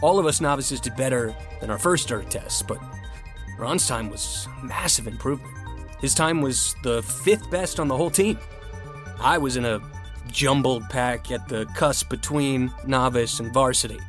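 An actor playing an earnest, competitive young novice rower, narrating an audio drama in the first person with candid introspection. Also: male voice, medium pitch, normal speed, fairly bright tone, close to an everyday voice. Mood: candid, deflated. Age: young adult. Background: music.

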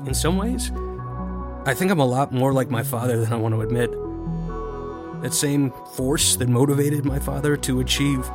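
An actor playing a privileged, brash young son in a first-person audio drama, wry and mouthy. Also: male voice, medium pitch, moderate pace, pauses often, over music, lightly acted. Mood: wry, reflective.

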